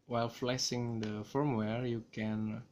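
A man from Indonesia with an Indonesian accent, talking through a software tutorial in a slow, hesitant way with many fillers, recorded on a smartphone. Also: medium pitch, flat delivery, slight background noise. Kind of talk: screen-recorded coding tutorial. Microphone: smartphone camera filming screen.

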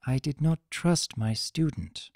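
A man's voice: on the high side, a little slow, darkish tone, dry recording, studio quality. A man voicing a wise, regretful elder pony princess in a dramatic story reading, grave, restrained and formal.

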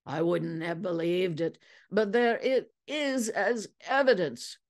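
A woman's voice: lowish voice, takes it slowly, pitched above the performer's own voice. A blustering hotel keeper , voiced by a woman reader, proud and exclamatory. He talks in colorful slang, as a larger-than-life man in a storybook. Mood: offended, amused.

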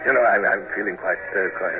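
Actor as deep-voiced, stiff British naval commodore. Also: male voice, fast, lightly acted. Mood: tipsy, expansive. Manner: stammering, clipped, formal. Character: proud British naval commodore, awkward, stiff, narrating his adventures. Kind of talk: 1950s radio drama acting and narration. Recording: muffled, noisy 1950s radio recording.

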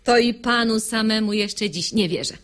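An actress playing an outspoken, mocking maidservant in a radio comedy in verse, teasing and sardonic. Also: female voice, on the high side, normal speed, fairly bright tone, close to an everyday voice.

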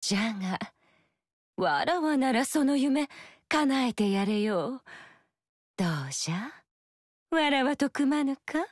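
A scheming, seductive fox spirit in a video game, coy and languid. She speaks slowly, her pitch rising and falling in a lilting way. The studio sound is dry and close. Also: animated, no room sound, heavily acted.

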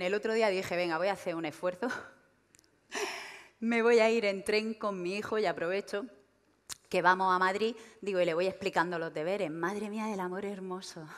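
A woman in her thirties with a Murcian Spanish accent, doing a stand-up comedy monologue and mocking her subjects in quick, animated asides. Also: medium pitch. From Region of Murcia, Spain. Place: large theater stage with live audience. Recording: long reverb of a large hall.

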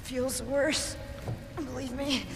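A woman's voice: high-pitched, fairly even intonation, slow pace. An actress voicing a desperate, guilt-ridden woman scientist in a video game, speaking with pleading urgency. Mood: urgent. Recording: echoey reverberant space. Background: loud game score.

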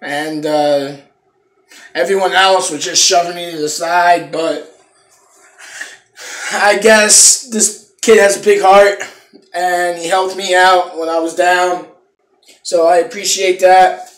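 A man's voice: high-pitched, steady tone, takes it slowly, pauses often, echoey room. A young man casually reviewing a product for his viewers from a small room at home, swearing often in a laid-back way. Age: young adult. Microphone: phone camera close up.

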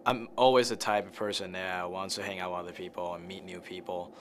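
Lowish-voiced young man from Taiwan. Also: flat delivery, brisk, slight background noise. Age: young adult. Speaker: college student. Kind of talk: interview testimonial.

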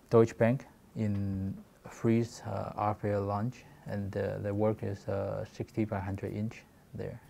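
A man in his forties from Taiwan with a Taiwanese accent, giving a halting talk about his work with many fillers and restarts. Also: fairly low pitch, gravelly, dark tone. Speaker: guest photographer. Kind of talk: presentation talk.

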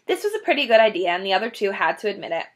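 A woman in her thirties reading a children's book aloud in an animated, dramatic way. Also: medium pitch, rapid-fire. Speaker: children's story reader. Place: small indoor room, classroom-like decor.

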